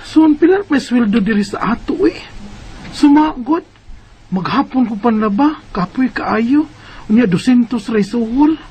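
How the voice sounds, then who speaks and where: A woman's voice: fairly high pitch, lively intonation, a little slow, darkish tone, husky, heavily acted. An actress playing a weary, determined young mother who pleads and insists in a radio drama. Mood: weary, persuading. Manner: insistent, persuading. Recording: dull lo-fi radio drama recording.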